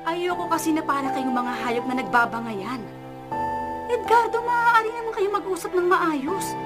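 Very high-voiced, exasperated mother. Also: lively intonation, moderate pace. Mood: reproachful. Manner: pleading, chiding. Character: protective, exasperated mother refereeing family quarrels. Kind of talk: radio drama acting. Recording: loud music bed under voice.

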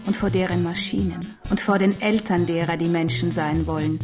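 A woman in a radio drama playing a grave, protective mentor who explains things in a measured way. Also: fairly low pitch, steady tone, a little mellow, lightly acted. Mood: grave warning. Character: grave protective mentor warning a young one. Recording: studio voice over clear music.

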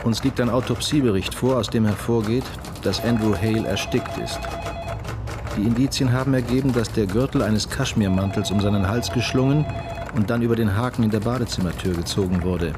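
A man playing a formal, firm, methodical police detective in a radio crime drama, speaking deliberately. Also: fairly low pitch, natural voice. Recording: music behind the voice.

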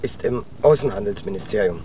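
An actor playing a defensive schoolboy in radio drama dialogue. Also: male voice, fairly low pitch, a little fast, dark tone, natural voice. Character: defensive ten-to-teenage schoolboy denying his father's job. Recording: faint ambient noise.

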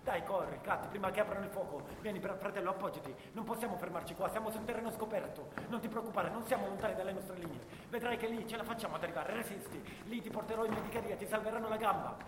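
Male stage actor as young WWI soldier, anguished, intense monologue, high-pitched voice, fast delivery, radio theatre. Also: natural voice. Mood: desperate, breathless urgency. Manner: intense, dramatic storytelling. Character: young First World War soldier, anguished, tender, remorseful. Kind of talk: theatrical monologue narrating war memories. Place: live radio theatre performance in a hall. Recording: echoey theatre hall, noisy distant recording.

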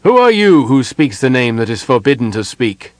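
A man's voice: medium pitch, sing-song, normal speed, a little mellow, dry recording, close to an everyday voice. A man voicing a stern, wary male elf in a fantasy audiobook, formal and challenging.

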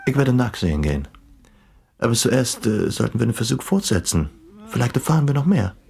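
An actor playing a polite, wry English gentleman investigator in a radio drama, dropping in English interjections. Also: medium pitch, big pitch swings, brisk, creaky. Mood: intrigued, wry.

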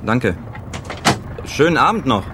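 An actor playing an obliging taxi driver, casual and matter-of-fact, in a radio drama. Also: male voice, mid-range voice, big pitch swings, slow. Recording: car noise behind the voice.

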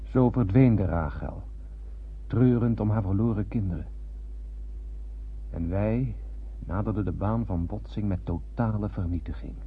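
An actor playing a sombre crewman who narrates a doomed space voyage in a literary radio drama, husky and breathy. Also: fairly low pitch, measured pace, pauses often, phone-quality sound, slight background noise. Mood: sombre, wistful.

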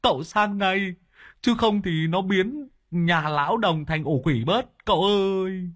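A man's voice: high voice, unhurried, fairly bright tone, voice pushed up. A man voicing a panicky young male disciple, pleading frantically. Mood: frantic.

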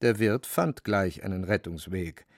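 A man's voice: sits low, a little slow, no echo, clean studio sound. A man delivering scripted literary narration for a radio drama.

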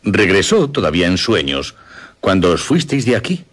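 An older Castilian gentleman, a father and master of a castle in a radio play. His voice is very low and husky, with lively swoops. He explains things formally and deliberately, always courteous and reassuring. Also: exaggerated voice. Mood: explanatory. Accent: Castilian Spanish. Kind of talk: radio drama acting.

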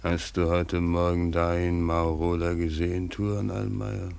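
An actor playing a solemn, deferential Malay messenger in a German radio drama, gravelly, measured and ceremonious. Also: male voice, deep voice, little pitch movement, a little mellow. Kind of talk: mid-century German radio play acting.

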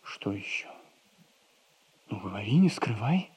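An actor playing a poor, lovelorn young clerk, plaintive and self-pitying, in a theatrical 1960s audio comedy. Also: male voice, on the low side, very animated, unhurried, a little mellow, husky, heavily acted. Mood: dejected.

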